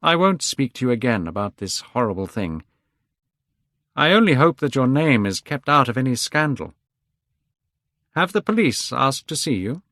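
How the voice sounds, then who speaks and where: A man reading aloud, voicing an older painter who sounds worried, gentle and pleading. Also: medium pitch, very animated, normal speed, pauses often, dry recording, clean studio sound, pitched above the performer's own voice. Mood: distressed.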